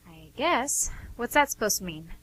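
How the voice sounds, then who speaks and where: A woman's voice: medium pitch, very animated, normal speed, a bit bright. A woman playing a caring, easily offended sister, earnest and reproachful, in an amateur radio play. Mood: concerned, reproachful.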